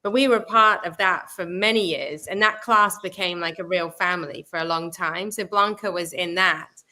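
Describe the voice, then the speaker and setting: Brief: woman from England, guest actress, thoughtful and hesitant, bright crisp tone. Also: on the low side, moderate pace. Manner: thoughtful, many ums. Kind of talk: casual interview chat.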